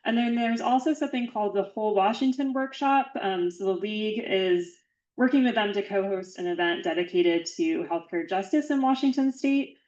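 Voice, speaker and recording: A woman's voice: medium pitch, normal speed, a little mellow, roomy sound, lo-fi sound. A woman hosting a membership meeting, giving friendly, organized announcements with a few fillers. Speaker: meeting host.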